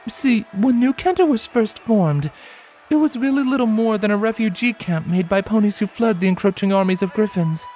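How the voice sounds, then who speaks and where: A man's voice: sits high, normal speed, a little mellow, faint music behind, pitched above the performer's own voice, lightly acted. A man voicing a guarded, protective adult mare, a pony in a fantasy story, with an earnest, firm manner. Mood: awestruck, explaining.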